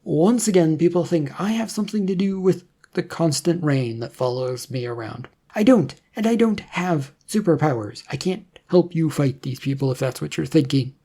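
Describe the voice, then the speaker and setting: A narrator voicing a weary, defensive, reluctant male hero with a halting, protesting manner in a story. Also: medium pitch, expressive, dark tone, husky.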